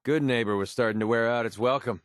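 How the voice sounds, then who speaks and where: An actor playing a cynical young mercenary sniper in a video game, with an American accent and a casual, sarcastic, streetwise way of talking. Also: male voice, medium pitch, fast, no room sound, studio quality, close to an everyday voice. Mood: laid-back. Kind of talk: video game character dialogue.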